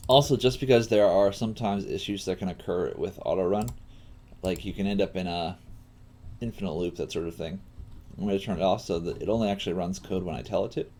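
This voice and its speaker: A man with a lowish, very mellow voice teaches a casual coding lesson on a livestream. He works as he talks, halting and thinking aloud. There is faint noise behind him, with the sound of a keyboard. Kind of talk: casual live coding tutorial.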